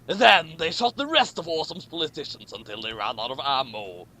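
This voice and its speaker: A man's voice: fairly high pitch, animated, fairly quick, sharp tone. A man hosting a comic reading of fan fiction, with mocking commentary and stumbles over his lines.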